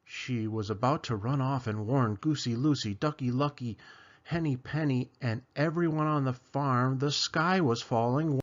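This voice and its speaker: A man reading a children's storybook aloud as narrator, in an expressive storytelling style. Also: medium pitch, a little slow, highs cut off. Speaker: storybook reader.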